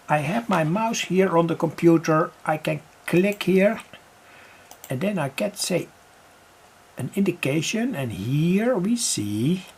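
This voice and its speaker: A hobbyist giving an unscripted demo at home, explaining piece by piece in a halting way with frequent pauses. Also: medium pitch, gravelly, a little mellow. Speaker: hobbyist demonstrator. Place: home, indoors near a kitchen. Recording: noisy room with radio static. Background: radio noise.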